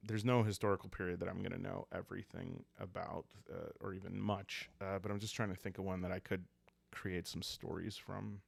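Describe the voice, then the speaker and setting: A man with a calm, creaky voice hosting a relaxed solo roleplaying game, musing aloud in an unhurried, hesitant way. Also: deep voice, no echo. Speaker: host of a solo roleplaying playthrough. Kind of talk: relaxed ASMR game narration, thinking aloud.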